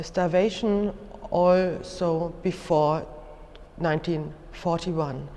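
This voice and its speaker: Slow, halting German-accented woman lecturer. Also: on the low side, warm tone, a little hiss or hum. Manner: halting, careful delivery with frequent hesitations. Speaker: museum lecturer. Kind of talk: educational lecture, unscripted explanation. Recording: echoey hall with long reverb.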